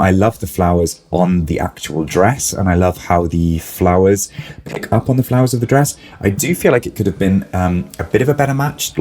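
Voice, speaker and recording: A man's voice: low voice, a little mellow. A man, a florist, musing in a casual reaction video, a little snuffly with a cold and hedging his opinions. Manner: musing, hedging, lots of "like" and "I think".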